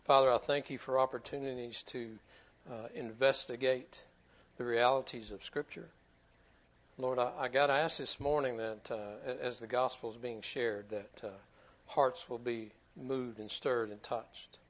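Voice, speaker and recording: A man's voice: mid-range voice, steady tone, moderate pace, muffled recording. A man preaching a sermon to his church in a folksy, repetitive way, often stumbling over his words. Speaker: pastor.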